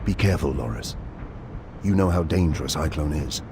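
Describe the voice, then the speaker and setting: An actor playing a cold, iron-willed inquisitor in a video game, speaking in a grave, measured, deliberate way. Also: male voice, rumbling low, noisy background. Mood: terse, wary. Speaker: protagonist and narrator. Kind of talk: scripted dramatic narration in a video game.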